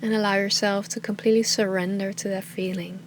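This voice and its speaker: A woman teaching meditation with a calm, soothing, unhurried delivery that often trails into breathy softness. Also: medium pitch, a little hiss or hum. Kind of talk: guided meditation, soft slow instruction.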